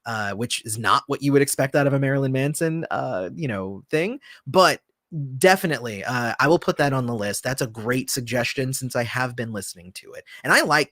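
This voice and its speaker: A man hosting a casual livestream, answering viewer comments in a relaxed, rambling way with lots of fillers, often trailing off softly. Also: medium pitch, no echo, clean studio sound. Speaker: channel host.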